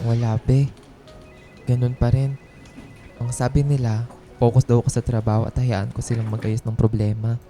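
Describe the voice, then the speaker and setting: A weary male office worker played by an actor in a radio drama. His very dark, muted timbre carries hurt and quiet resolve, with hesitant phrasing over soft music. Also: medium pitch, flat delivery, moderate pace, long pauses, lightly acted. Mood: dejected.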